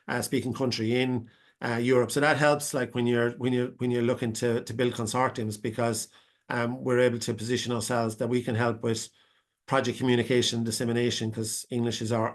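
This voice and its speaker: An Irish man speaks over a video call, his pitch staying flat and level. He answers at length and wanders through his point with frequent restarts and fillers. It sounds like a live panel webinar. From Ireland. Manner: rambling, many restarts and fillers. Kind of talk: unscripted answer to a question.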